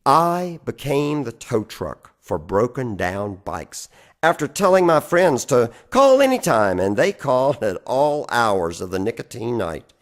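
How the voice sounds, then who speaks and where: A man performing a dramatic spoken-word poetry reading, phrasing deliberately and with theatrical emphasis. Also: mid-range voice, very animated. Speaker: reader of poetry.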